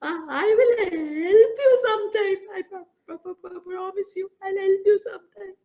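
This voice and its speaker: A woman's voice: very high-pitched, normal speed, warm tone, lo-fi sound, pitched above the performer's own voice. A woman voicing a tiny, frightened male mouse who stammers and pleads tearfully. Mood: frightened pleading.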